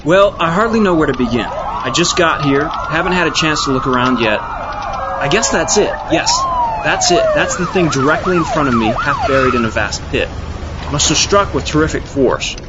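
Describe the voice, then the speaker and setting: A man's voice: on the high side, huge pitch swings. A man playing a rambling, easily sidetracked on-scene reporter in a comedy radio news parody. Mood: earnest rambling. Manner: halting, repetitive, easily sidetracked. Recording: noisy lo-fi outdoor field report.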